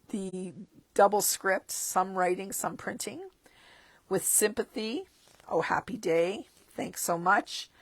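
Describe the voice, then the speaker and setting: A woman's voice: medium pitch, dry recording. A woman demonstrating stamping supplies in a casual walkthrough of a catalog, chatty with chuckling asides, and losing her voice. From Canada. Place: tabletop craft desk indoors.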